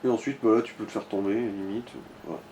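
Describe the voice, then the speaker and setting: A man's voice: lowish voice, fairly even intonation, quick pace, background noise. A man chatting casually as he gives directions to a player during a let's play.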